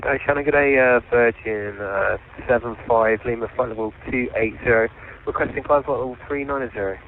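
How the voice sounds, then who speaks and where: A man's voice: medium pitch, a little fast. A man speaking as an airline pilot, making requests and readbacks over radio with some hesitation. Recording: tinny, slightly noisy cockpit radio link.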